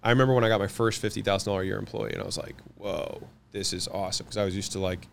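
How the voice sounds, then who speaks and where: A man whose first language is French, answering interview questions about running companies, confident and quick, listing his points one after another. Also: on the low side, fairly even intonation, vocal fry, a little hiss or hum. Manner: fast, confident, lists points in quick runs. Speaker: guest, business owner.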